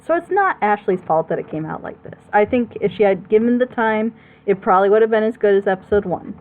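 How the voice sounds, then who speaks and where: A woman's voice: medium pitch, expressive, fast. Emphatic woman chatting on a muffled recording. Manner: emphatic, rambling. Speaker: host of a reaction show. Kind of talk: casual chat, opinionated commentary.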